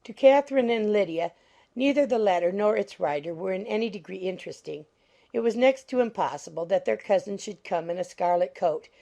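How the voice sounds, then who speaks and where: A woman reads a classic novel as audiobook narration. Her voice is medium in pitch and dark, with noticeable fry at the edges.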